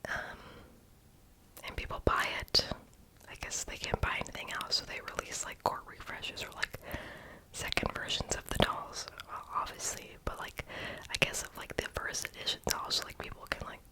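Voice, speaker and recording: A person whispering a casual, rambling ASMR voiceover in a breathy, unvoiced voice, often stopping to correct themselves. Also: normal speed, low-quality recording. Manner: casual, rambling, hesitant self-correcting talk. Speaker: ASMR video creator.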